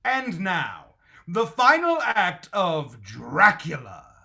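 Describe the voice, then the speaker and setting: A man playing a genial, dramatic announcer and pitchman in 1940s radio style. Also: huge pitch swings, slow, gravelly, sharp tone, full character voice. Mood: ominous.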